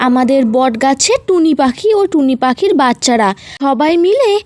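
Woman voicing a high-pitched scolding cartoon mother. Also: lively intonation, moderate pace. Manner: sharp, scolding. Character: scolding, hot-tempered village mother. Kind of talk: cartoon voice acting.

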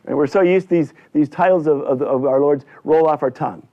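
A male pastor delivering a church sermon in deliberate, emphatic short phrases with repetition. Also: a little high. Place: indoors in a church at a lectern. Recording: dull, slightly lo-fi church recording.

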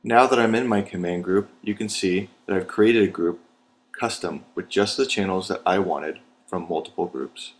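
American guy doing a how-to narration, low voice, methodical step by step, some background noise. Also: normal speed. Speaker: training video instructor. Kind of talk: scripted instructional narration.

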